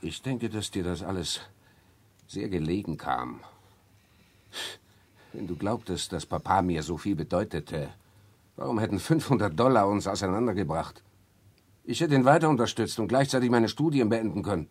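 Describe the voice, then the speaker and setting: A man playing a bitter, husky younger brother. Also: lowish voice, animated, moderate pace, long pauses. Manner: cutting, resentful, confrontational. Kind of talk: German dub of a stage drama.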